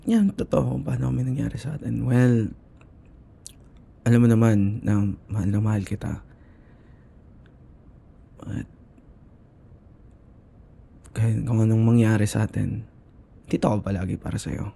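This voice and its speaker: A man with a Filipino accent playing a shy, affectionate boyfriend in his twenties in an intimate ASMR roleplay, speaking softly and hesitantly. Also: on the low side, fairly even intonation, vocal fry, slightly rough, heavily acted. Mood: tender, earnest. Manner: soft, hesitant, halting, slipping between Tagalog and English.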